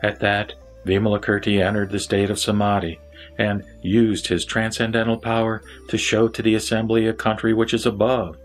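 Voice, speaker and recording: A man reciting a Buddhist scripture as a formal, measured narrator over background music. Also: lowish voice, completely flat delivery.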